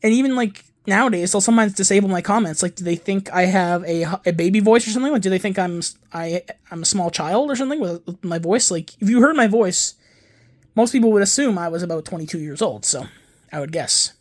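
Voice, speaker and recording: A man's voice: sits high, brisk. A man in his twenties chatting casually in a show-and-tell vlog about his film disc collection, rambling and digressive, often correcting himself mid-sentence. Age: 20s. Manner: enthusiastic fan talk. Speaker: home video collector and YouTuber.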